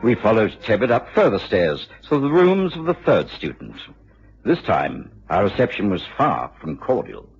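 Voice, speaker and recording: An English actor playing a courteous gentleman doctor and storyteller in a vintage radio drama. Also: on the low side, big pitch swings, normal speed, gravelly. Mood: measured storytelling. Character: Doctor Watson, courteous English gentleman narrator, easily astonished. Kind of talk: 1950s BBC radio drama acting and narration. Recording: dull vintage radio recording, music.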